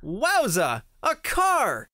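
An excitable, bossy little boy knight from a kids' comic , acted out by a woman. The delivery swings up and down wildly but takes its time, with a close, dry sound. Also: on the high side, extremely slow, dry recording, full character voice. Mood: excited. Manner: exclamatory, playful, dramatic. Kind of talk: children's comic read-aloud.

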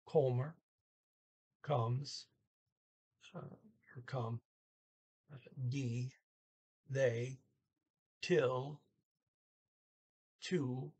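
Slow, husky older man teaching. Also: mid-range voice. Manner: halting, deliberate, with pauses between words. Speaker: language teacher. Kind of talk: informal Norwegian lesson, word-by-word glossing. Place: small room indoors at home. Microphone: webcam or computer mic at arm's length.

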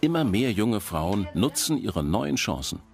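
A man's voice: on the low side, big pitch swings, measured pace, gravelly, soft background music. A man reading scripted documentary narration.

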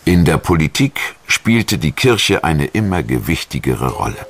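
A man reading scripted historical documentary narration in a measured, solemn and formal manner. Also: very deep voice, big pitch swings. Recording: music behind the voice. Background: background music.

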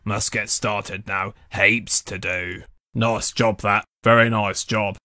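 A man's voice: lowish voice, fairly even intonation, slow pace, bright, forceful tone, no echo, studio quality. A man voicing a smug, lazy cartoon machine from a garbage yard.

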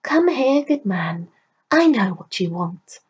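A woman voicing an old crone who sells charms, sly and cackling, with high shrill hollering. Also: big pitch swings, slow, breathy edge, full character voice. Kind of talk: storybook reading. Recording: muffled recording.

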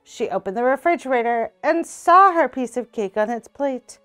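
A young woman reading a children's picture book aloud in a small indoor room, slow and expressive. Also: high voice, smooth, faint music behind. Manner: slow, expressive read-aloud with character voices. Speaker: storytime reader.

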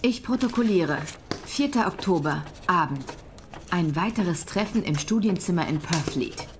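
An actress playing a compassionate young wife with quiet resolve, speaking solemnly and formally in a German audio drama. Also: female voice, lowish voice, lively intonation, moderate pace, slight background noise, close to an everyday voice.

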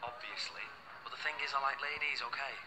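A cocky, needling man in a television soap drama, played by an actor. He speaks quickly in a mid-pitched voice. Heavy noise runs behind the dialogue. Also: steady tone, lightly acted. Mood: cocky, argumentative.

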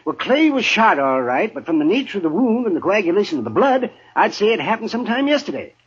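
An actor playing a fussy, chatty Old West doctor with a raspy voice in a 1950s radio western. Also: male voice, high-pitched, very animated, fast, exaggerated voice. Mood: fussy, matter-of-fact. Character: fussy, chatty frontier doctor, cheerfully morbid. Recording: muffled 1950s radio recording.

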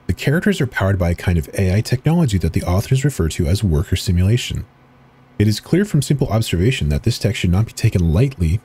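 A man giving a slow, deliberate dramatic reading of forum posts and web pages in an ominous tone. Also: fairly low pitch, warm tone, slightly rough. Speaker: channel host reading horror texts.

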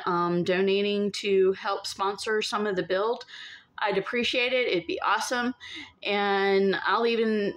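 Woman, middle-aged, medium pitch, casual vlog chat, halting delivery, phone at arm's length. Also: a little hiss or hum. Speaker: vlogger. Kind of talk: casual update to camera. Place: inside an empty converted school bus.

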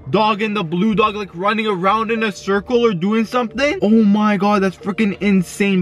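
A man in his twenties filming an excited vlog from his parked car, talking fast and exclaiming often. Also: high voice. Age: 20s. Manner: hyped, exclamatory, lots of yo and oh my god. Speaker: YouTuber. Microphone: camera facing him in car.

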